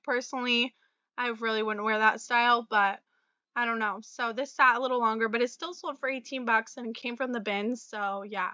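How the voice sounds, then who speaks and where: Chatty woman, a bit raspy from a cold. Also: on the high side, sharp tone, dry recording, muffled recording. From Florida. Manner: chatty, friendly, many fillers and small laughs. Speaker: reseller vlogger. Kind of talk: casual talk to camera about sales.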